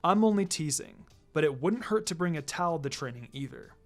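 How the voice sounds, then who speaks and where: A narrator voicing a calm adult male ninja teacher with a measured, instructive and dryly teasing manner in a fan fiction reading. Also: mid-range voice, soft background music, pitched below the performer's own voice, natural voice. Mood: gently teasing.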